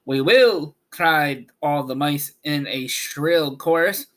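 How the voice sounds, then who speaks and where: A man narrating a storybook aloud as host, reading in a halting way with stumbles. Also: on the high side, steady tone, fairly bright tone.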